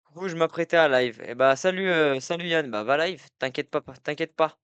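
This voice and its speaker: A man's voice: a little high, brisk, fairly bright tone, clean tone, no room sound, highs cut off. A young man hosting a casual football live stream, talking to his chat in a rambling way, full of fillers and restarts. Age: young adult. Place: indoor room at night.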